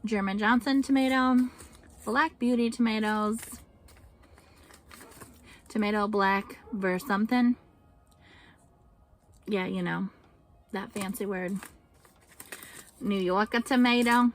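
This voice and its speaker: A woman's voice: medium pitch, fairly even intonation, slow pace, pauses often, a little hiss or hum. A woman homesteading vlogger talking casually to camera in a chatty, rambling way. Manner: chatty and rambling, reads out seed names.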